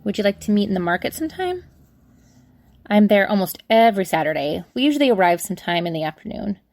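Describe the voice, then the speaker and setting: A woman's voice: mid-range voice, very animated, fast, a little mellow. A young woman playing a flustered, chatty witch in an audio drama, rambling awkwardly and correcting herself as she dictates a letter. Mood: hopeful, awkward. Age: young adult. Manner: rambling, awkward, self-correcting, sighing asides. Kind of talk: audio drama monologue, conversational.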